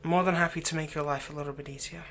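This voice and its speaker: A man playing a doting, lightly teasing fantasy villain captor in an ASMR roleplay, speaking softly and tenderly, coaxing the listener without hurry. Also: a little high, fairly even intonation, slight background noise. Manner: soft, coaxing, unhurried, tender.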